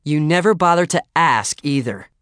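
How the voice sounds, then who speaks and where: Man acting a bossy teenage girl, raised shrill pitch, indignant scolding, audiobook character. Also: animated, moderate pace, no room sound, studio quality, close to an everyday voice. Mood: jealous.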